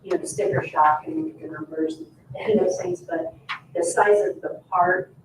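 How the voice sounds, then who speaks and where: A board member thinks out loud at a meeting, halting and informal. The sound is echoey and picked up from across the room, with faint noise behind. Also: high voice, very animated, creaky. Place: indoor public meeting room. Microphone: distant room microphone. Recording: roomy, distant, faint noise.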